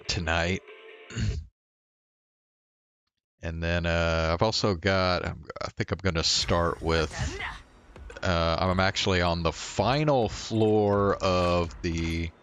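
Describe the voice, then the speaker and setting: Slow, deep-voiced man streaming games. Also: a bit bright, faint music behind. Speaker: livestream gamer. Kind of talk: casual gaming stream chat.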